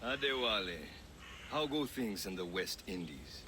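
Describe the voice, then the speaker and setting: A calm, formal mentor. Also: male voice, lowish voice, a little slow. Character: older assassin mentor, calm and commanding. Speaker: game character. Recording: game audio with ambient noise.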